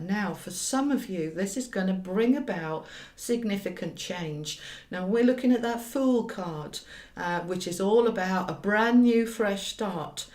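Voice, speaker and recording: A woman with a slightly croaky voice giving an informal tarot reading, speaking directly to viewers with emphatic repetition. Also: mid-range voice, a little mellow. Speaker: tarot reader and hypnotherapist. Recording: roomy, echoey sound.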